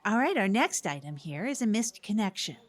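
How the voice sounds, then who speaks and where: A woman playing a chatty, upbeat community radio co-host, speaking in halting, improvised-sounding comedy banter. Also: medium pitch, very animated.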